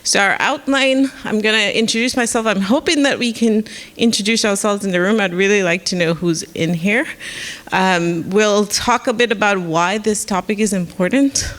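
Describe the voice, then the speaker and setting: A woman with a Saint Lucian accent, a professor leading an informal workshop in a relaxed, chatty way. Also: mid-range voice, normal speed. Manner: relaxed, chatty, inviting questions.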